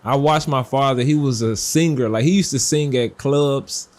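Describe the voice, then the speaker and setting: Easygoing American guest, casual talk. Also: male voice, mid-range voice, moderate pace. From United States. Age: late 20s. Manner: relaxed, easygoing, exclaims wow often. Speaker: guest content creator.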